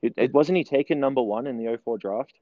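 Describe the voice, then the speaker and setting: A man's voice: medium pitch, fast talker, phone-quality sound, a crowd in the background. A man in his thirties with a mixed Australian and New Zealand accent, chatting as an interview guest and telling stories with lots of fillers and restarts. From New Zealand, raised in Australia. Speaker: guest, strength and conditioning coach. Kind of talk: casual interview conversation.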